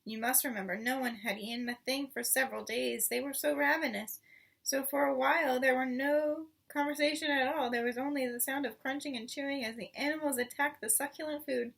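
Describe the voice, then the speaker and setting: Woman, high-pitched voice, husky, expressive storybook read-aloud, recorded away from the mic. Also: a little fast, recorded from a distance, poor audio. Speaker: storybook reader.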